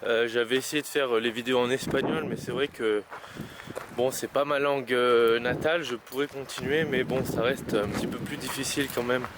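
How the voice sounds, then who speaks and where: Young male outdoor vlogger, casual and halting, mid pitch, heavy wind noise on camera mic. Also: steady tone. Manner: rambling, many hesitations and restarts. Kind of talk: casual vlog talk while hiking. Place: outdoors on mountain trails in wind.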